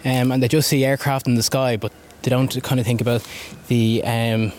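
A man's voice: medium pitch, flat delivery, moderate pace, a little mellow, a little hiss or hum. A young man, an airline recruitment officer, speaking eagerly in an interview. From Ireland. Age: young adult.

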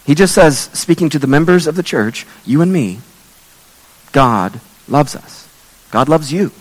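A man preaching a sermon as pastor, earnest and measured, reading scripture aloud while his voice is going hoarse. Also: fairly high pitch, animated, long reverb tail, rough recording. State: losing his voice.

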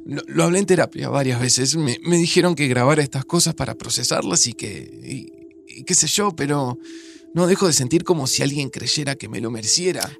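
A man's voice: medium pitch, brisk, gravelly, lightly acted. A man playing a melancholy, grieving man in a naturalistic audio drama, with an Argentine accent and a hesitant, introspective way of talking. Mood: anguished, wistful. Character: melancholy, grieving, dramatic man, older than his friend.

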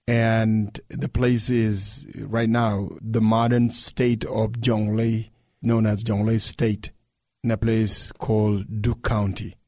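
A man's voice: lowish voice, level tone, slow, dark tone, no echo, clean studio sound. A man from South Sudan, a radio interview guest, recalling his childhood unhurriedly and repeating words for emphasis. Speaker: guest, human rights activist. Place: radio studio.

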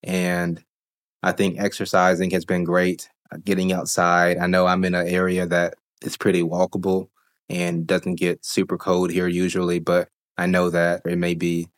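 A man hosting a solo podcast, talking calmly and reflectively while getting over a cold. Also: sits low, normal speed. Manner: calm, reflective, thinks aloud with fillers. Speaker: podcast host.

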